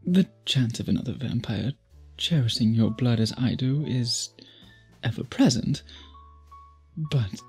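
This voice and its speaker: A man's voice: mid-range voice, animated, a little slow, darkish tone, husky, faint music behind, full character voice. A man playing an obsessive, old-fashioned vampire suitor in an intimate ASMR roleplay, speaking in formal, archaic, possessive phrases. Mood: reassuring.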